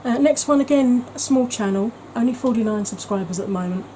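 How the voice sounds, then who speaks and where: A woman's voice: on the high side, brisk, dark tone, a little hiss or hum, low-quality recording. A woman talking in a chatty, gushing way as a beauty vlogger, with frequent ums. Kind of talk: casual vlog chat.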